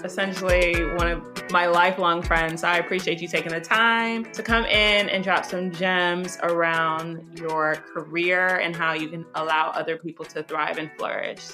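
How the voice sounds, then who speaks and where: A woman's voice: lowish voice, level tone, normal speed, hardly any pauses, music in the background. A young woman hosting a podcast, talking in an upbeat, effusive way with casual slang. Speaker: podcast host. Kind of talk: casual interview chat.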